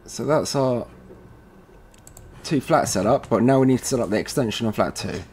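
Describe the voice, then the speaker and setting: A man presenting an informal software tutorial, casually thinking aloud as he goes step by step. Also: medium pitch, normal speed, husky. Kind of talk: informal screen-recorded software walkthrough. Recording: noisy room with keyboard typing.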